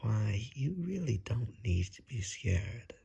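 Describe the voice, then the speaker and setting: Suave ASMR vampire, acted by a man: very breathy and husky, unhurried, politely menacing and seductive. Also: lowish voice, very animated, warm tone, muffled recording, exaggerated voice. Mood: soothing, amused. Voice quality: breathy, husky, half-whispered. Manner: courtly, drawn-out, seductive menace. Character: suave, predatory vampire, coaxing and darkly polite. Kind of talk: ASMR roleplay, slow and whispery.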